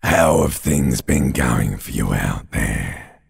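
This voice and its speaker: An actor playing an ancient reptilian brain in a video game, a sinister, mocking inner voice with a slow, theatrical, rasping drawl. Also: male voice, animated, heavily acted. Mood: ominous, coaxing. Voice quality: very deep, gravelly, rasping growl. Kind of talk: dramatic video game character monologue. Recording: long cavernous reverb.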